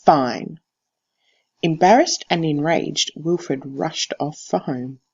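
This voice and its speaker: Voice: mid-range voice, takes it slowly, gravelly, darkish tone, breathy edge, lo-fi sound. Teacher reading a picture book. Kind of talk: expressive picture-book read-aloud narration.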